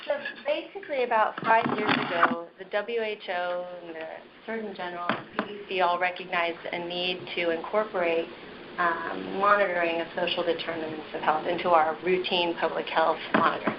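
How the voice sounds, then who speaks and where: A soft-spoken woman epidemiologist presenting slides in a measured, explanatory way, with frequent ums and restarts. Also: lowish voice, steady tone. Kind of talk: slide presentation over a phone line. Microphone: phone on the table. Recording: muffled phone line, faint noise.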